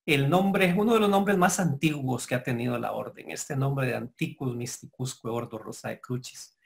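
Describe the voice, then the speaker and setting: A man giving a formal lecture to members, speaking in a measured, explanatory way with pauses and self-corrections. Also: medium pitch, vocal fry. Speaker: guest lecturer.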